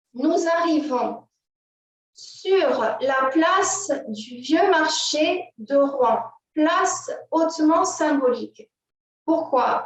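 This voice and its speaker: A French-speaking woman presenting her novel with slides at a book fair, pausing often with filler sounds and asking her assistant to move to the next slide. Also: high voice. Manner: halting, many filler "euh", directs an assistant to change slides. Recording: roomy echo, distant from the mic.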